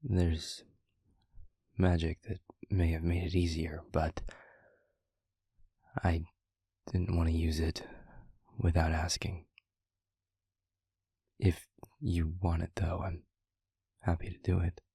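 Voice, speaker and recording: Man playing a breathy, low demon lover. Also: completely flat delivery, no room sound, studio quality, heavily acted. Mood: tender, concerned. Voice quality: breathy, husky, often near whisper. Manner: gentle, hesitant, slow and deliberate. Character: tender, devoted male demon lover, softly protective. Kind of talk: intimate audio roleplay, ASMR-like.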